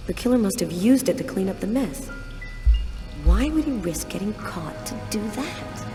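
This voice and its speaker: A woman's voice: medium pitch, sing-song, moderate pace. An actress playing a weary, observant female New York police inspector in a video game, speaking matter-of-factly. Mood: focused. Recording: loud music under the voice.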